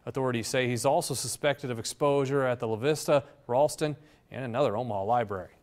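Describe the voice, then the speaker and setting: Man, American accent, TV news reporter, medium pitch, scripted delivery. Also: fast, darkish tone. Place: TV news center.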